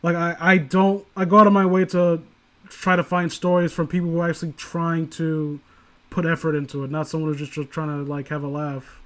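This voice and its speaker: Higher-voiced man rambling through casual commentary. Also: little pitch movement. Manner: rambling, halting, profane. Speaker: host of a story reading stream. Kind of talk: casual critical chat on a livestream.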